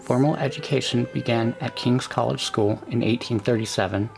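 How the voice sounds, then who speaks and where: A man narrating a scripted documentary in a measured, even delivery, with music behind the voice. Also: medium pitch, gravelly.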